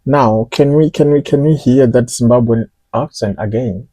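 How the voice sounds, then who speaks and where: Mellow, husky male podcast host. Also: medium pitch, moderate pace, no room sound. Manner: hesitant, with fillers and repeated words. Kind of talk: casual interview questions.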